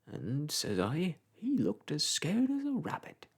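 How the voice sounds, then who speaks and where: A man's voice: on the high side, huge pitch swings, a little slow, breathy edge, pitched above the performer's own voice, exaggerated voice. A man doing a rambling old village clerk. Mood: rambling advice. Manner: rambling, folksy, lecturing. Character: garrulous old male village parish clerk.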